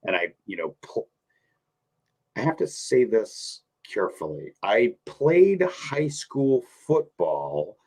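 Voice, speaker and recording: A man, a podcast guest chatting casually, animated and rambling, laughing often. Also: mid-range voice, slow, slightly rough. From New Hampshire. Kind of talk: casual sports chat.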